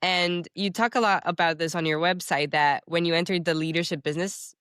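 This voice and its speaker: A woman hosts a podcast interview, asking curious questions in halting restarts. Her voice is very low and recorded dry and close. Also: fairly even intonation, a bit bright, smooth, no echo. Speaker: podcast host.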